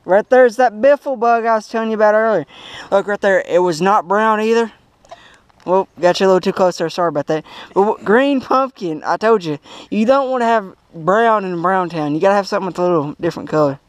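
A young man giving a playful, teasing tour of a bass boat outdoors on a lake, laughing often, recorded on an action camera. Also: fairly quick, clear, resonant tone, dry recording. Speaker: vlog host.

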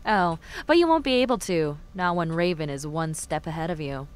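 A woman's voice: low-pitched, animated, normal speed, clean tone. A woman playing a gloating, bitter villainess and crime boss in an audio drama, with a mocking, theatrical delivery. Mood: smug, taunting.

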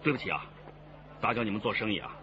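A man's voice: lowish voice, animated, quick pace, crowd noise, close to an everyday voice. A man playing a courteous, matter-of-fact police squad leader in a radio drama. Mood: businesslike, courteous. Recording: dull radio drama with noisy ambience.

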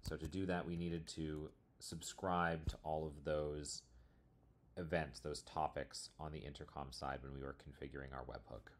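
Very deep-voiced man, casual tech walkthrough. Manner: informal, explanatory. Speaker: software demo presenter. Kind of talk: casual screencast tutorial walkthrough.

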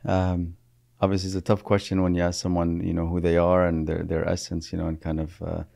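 Deep gravelly guy in his 30s on a studio podcast mic, lots of ums and restarts, kinda dark tone. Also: monotone, brisk, dry recording. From Iraq. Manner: hesitant, many fillers and restarts. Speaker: therapist guest. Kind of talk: reflective podcast interview. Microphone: studio mic on boom arm.

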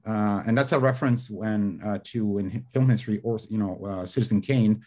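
A man's voice: lowish voice, fairly quick, warm tone. Man rambling on a video call. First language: Spanish. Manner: rambling, many fillers and restarts, gesturing. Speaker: screenwriter guest. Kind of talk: casual interview over video call. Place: home study with bookshelves. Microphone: video call webcam.

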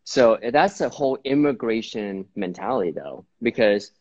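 A man in his forties from Vietnam, a hairdresser being interviewed on a live video call, talking casually and haltingly, often repeating words. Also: lowish voice, animated, darkish tone. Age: 40s. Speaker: guest hairdresser. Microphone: video call on phone. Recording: dull lo-fi call audio.

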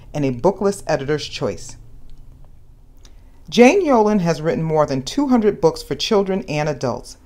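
A woman with a slightly low voice reads a picture book aloud for young children. Her delivery is very animated, with wide swings in pitch. She plays up the story as a host speaking to little listeners. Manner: animated, dramatizes questions and exclamations for young children.

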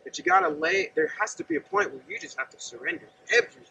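A man's voice: very high-pitched, wildly swooping pitch, fast talker, vocal fry, pushed and bright, a little hiss or hum. A man in his thirties preaching earnestly and conversationally outdoors, standing and gesturing while he talks to a camera set some distance away. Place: outdoors by a gravel lot and fence. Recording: distant outdoor mic with faint ambience.

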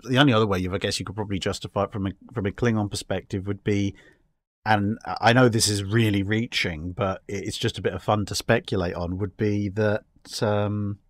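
A man's voice: on the low side, steady tone, fairly quick, no room sound. A man in casual, discursive chat, talking with wry amusement. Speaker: podcast guest answering fan questions.

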